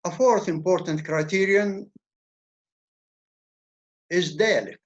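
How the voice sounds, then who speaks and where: Guy lecturing, slow and careful, kinda high voice, dull lo-fi sound. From Levant. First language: Arabic. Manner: measured, explanatory, repeats words when restarting. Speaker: university lecturer. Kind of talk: academic lecture. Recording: dull lo-fi recording.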